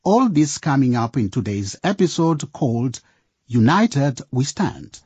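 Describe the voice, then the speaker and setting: A man's voice: mid-range voice, very animated, measured pace, very noisy. A man giving theatrical scripted narration as presenter of a radio drama.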